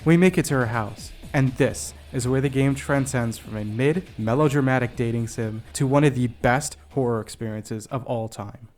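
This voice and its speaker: A man's voice: medium pitch, moderate pace, hardly any pauses, a little mellow. Man narrating a video essay over music. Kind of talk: scripted narration of a game review. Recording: music behind the voice. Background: background music.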